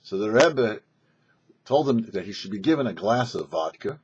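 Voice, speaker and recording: A man's voice: lowish voice, expressive, normal speed, breathy edge. An American man hosting and narrating a religious story in an anecdotal way, acting out bits of dialogue. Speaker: host and storyteller. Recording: slightly dull lo-fi recording.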